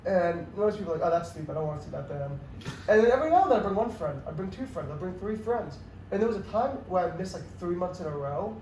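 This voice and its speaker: Young male comedian guest, high voice, excitable rambling storyteller, roomy distant mic. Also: fast. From New York City area. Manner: excitable, rambling, lots of "like" and restarts. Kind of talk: casual storytelling chat. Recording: echoey room, mic at a distance, faint noise.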